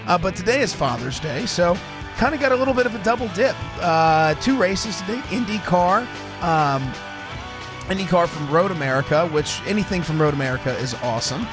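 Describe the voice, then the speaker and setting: Hoarse man hosting a radio show. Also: high-pitched, lively intonation, fairly quick, over music. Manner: rambling, repeats words, restarts often. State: hoarse from laryngitis. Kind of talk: casual motorsport radio chat. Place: college radio studio. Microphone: studio microphone.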